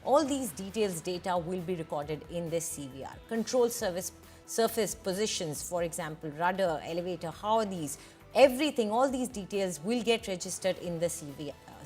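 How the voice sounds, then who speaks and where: A woman with an Indian accent presenting live news as an anchor, explaining in an emphatic manner. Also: lowish voice. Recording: music bed behind the voice.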